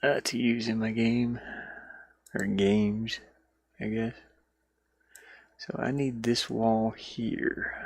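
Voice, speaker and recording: Man, creaky voice, slow pace, casual game developer commentary, easygoing, halting, thinking out loud. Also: mid-range voice, no room sound. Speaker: indie game developer showing his own game. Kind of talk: casual, rambling devlog commentary while working.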